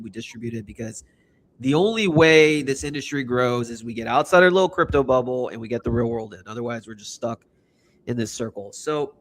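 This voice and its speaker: A man's voice: mid-range voice, fairly bright tone, slight background noise, rough recording. A man hosting a casual livestream, talking in a hyped, rambling, slangy way. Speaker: host.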